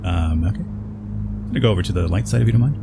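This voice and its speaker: A man's voice: low-pitched, lively intonation, quick pace, vocal fry, loud music behind the voice. A man doing a let's play, reading game dialogue aloud and commenting in a casual, hesitant, conversational way.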